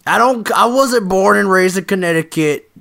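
A man's voice: high-pitched, moderate pace, vocal fry, sharp tone, a little hiss or hum, exaggerated voice. A man voicing an earnest young man in his twenties with a put-on Southern drawl. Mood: earnest, wounded. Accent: put-on American Southern. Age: 20s.

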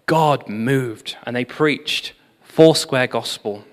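A man giving a sermon, telling revival history in an animated storytelling manner with frequent fillers. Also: medium pitch, takes it slowly. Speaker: preacher. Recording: long hall reverb.

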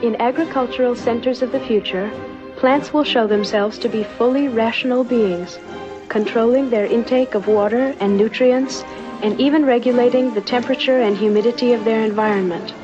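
A woman delivering scripted documentary narration in a formal, measured manner. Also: fairly high pitch, steady tone. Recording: dull lo-fi old film soundtrack. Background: music.

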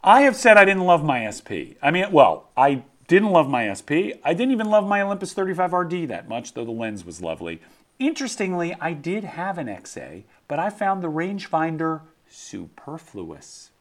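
A man's voice: fairly high pitch, very animated, normal speed. A man hosting a podcast, chatting casually and playfully with a co-host and joking at his own expense while reading listener mail. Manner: playful, jokey, self-mocking.

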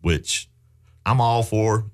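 A man's voice: on the low side, slow pace. A man hosting a real estate radio show in a studio, talking in a folksy, persuasive way and asking leading questions. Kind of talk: casual radio talk.

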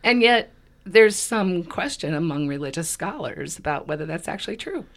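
A woman with a very low, froggy voice, hoarse and raspy from laryngitis. She co-hosts a podcast and talks in a loose, rambling way, restarting her sentences as she thinks aloud. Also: lively intonation, normal speed. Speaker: podcast co-host. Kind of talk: casual conversation.

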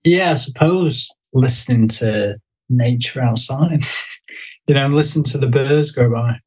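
A man with a middling-pitched voice, the hesitant, musing guest on a laid-back creative podcast. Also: a little slow, darkish tone, slightly rough, low-quality recording. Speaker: podcast guest, graphic designer. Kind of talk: casual chat.

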